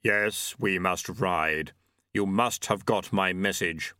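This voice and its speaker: A man voicing Death, a solemn and commanding horseman of the apocalypse, in a slow, weighty, formal manner for a storybook. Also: deep voice, sharp tone, clear, resonant tone, no echo, studio quality, heavily acted. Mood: solemn, insistent.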